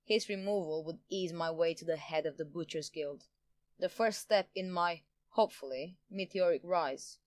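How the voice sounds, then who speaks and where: A woman's voice: fairly low pitch, normal speed, natural voice. A woman playing a smug, power-hungry politician in an audio drama, pompous and theatrical in manner. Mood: smug, boastful. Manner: theatrical, pompous, self-satisfied. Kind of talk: audio drama villain acting.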